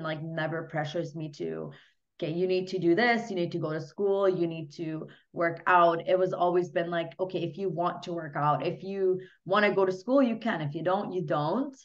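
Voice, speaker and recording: A young woman from Hungary talks casually as a podcast guest, her speech full of "like" and "you know". Her voice sits fairly low for a woman. The sound is dull and lo-fi, picked up by a laptop mic on a video call. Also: steady tone, normal speed. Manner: chatty, many fillers like "you know" and "like". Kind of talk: casual interview chat. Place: small home room. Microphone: laptop webcam mic on a video call.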